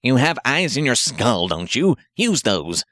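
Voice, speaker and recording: A man's voice: mid-range voice, very animated, measured pace, fairly bright tone, dry recording, clean studio sound. A man voicing a furious, imperious undead zombie master in an audiobook, scornful and barking.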